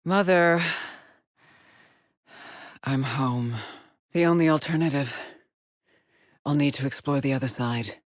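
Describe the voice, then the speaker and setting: A woman's voice: a bass voice, slow pace, long pauses, no room sound, clean studio sound. An actress voicing a haunted, determined female astronaut scout in a video game, with terse, introspective, formal phrasing. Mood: haunted, mournful. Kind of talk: dramatic video game monologue and log entries.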